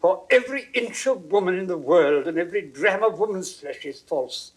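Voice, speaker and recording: An actor playing a blunt, hot-tempered old lord, theatrical and emphatic, in classical Shakespearean drama. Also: male voice, high voice, animated, moderate pace. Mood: indignant. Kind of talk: classical Shakespearean stage acting.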